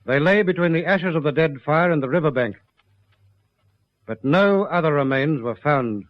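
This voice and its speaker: A male narrator of a vintage radio crime series, his voice a little high. He reads formally, with grave, measured emphasis. The sound is dry and muffled. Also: no room sound. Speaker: narrator of a police drama series. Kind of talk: formal scripted 1950s-style radio narration.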